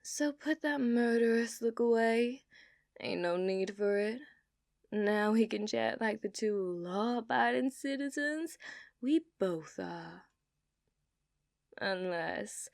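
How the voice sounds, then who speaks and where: A woman voicing an obsessive, dominant outlaw cowgirl in a put-on Southern accent, for an ASMR roleplay, teasing and dropping into soft whispers. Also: mid-range voice, dry recording, clean studio sound. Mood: flirtatious. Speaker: ASMR performer.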